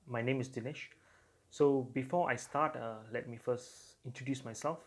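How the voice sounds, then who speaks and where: A man from Singapore, presenting an astrology video and explaining it with frequent pauses and filler sounds. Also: medium pitch, fairly even intonation. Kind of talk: explanatory talk to camera.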